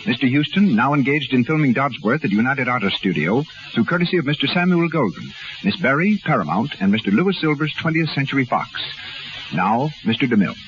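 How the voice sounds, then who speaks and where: An American man announces on 1930s radio with a lively, swinging pitch. The old recording is muffled and very noisy. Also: brisk.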